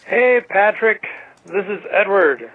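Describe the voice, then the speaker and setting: A man's voice: high-pitched, lively intonation, slow pace, slightly rough, narrow, tinny audio. A man leaving a casual voicemail as a listener calling in to a show. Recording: thin phone voicemail line.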